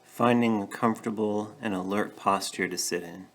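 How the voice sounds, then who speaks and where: A man leading a guided meditation, speaking gently and in a measured way with pauses between short phrases. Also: lowish voice, steady tone, vocal fry, slight background noise. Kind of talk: guided meditation, calm instruction.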